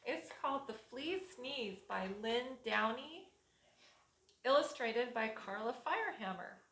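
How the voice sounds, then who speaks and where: A woman preschool teacher reading a storybook aloud to young children in a rhythmic, expressive way. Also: a little high, a little slow. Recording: echoey room.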